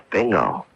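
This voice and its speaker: An actor playing a genial, seasoned police lieutenant in a radio mystery, gravelly and matter-of-fact, with a knowing manner. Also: male voice, bright, forceful tone. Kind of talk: radio mystery drama acting. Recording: muffled AM radio broadcast.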